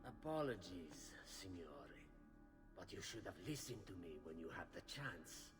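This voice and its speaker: A sly killer from a video game, theatrical and taunting. His pitch leaps wildly, ringing in long reverb over music. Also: big pitch swings, measured pace. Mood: cold mocking. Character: sly, gloating male killer, coldly mocking. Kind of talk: video game cutscene acting.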